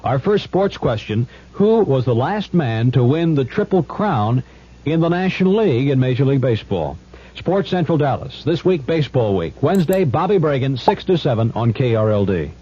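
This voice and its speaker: A man's voice: medium pitch, big pitch swings, moderate pace, warm tone, husky. Male sports radio announcer, muffled broadcast. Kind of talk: scripted promo announcement.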